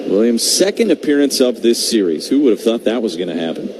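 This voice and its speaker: A man's voice: mid-range voice, very animated, quick pace. A man doing live radio baseball play-by-play in a wry, conversational way. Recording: noisy stadium broadcast feed.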